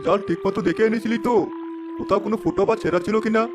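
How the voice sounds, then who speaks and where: A man playing an easygoing village fisherman, a companion in an animated folk tale. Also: sits high, very fast, music in the background, lightly acted. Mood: puzzled, worried.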